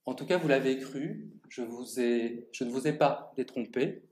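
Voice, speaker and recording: A man reading his own first-person monologue aloud at a public reading in an art gallery, speaking to the audience in a measured, theatrical way. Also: mid-range voice, a little mellow. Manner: measured, theatrical delivery addressing the audience directly. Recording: echoey gallery room.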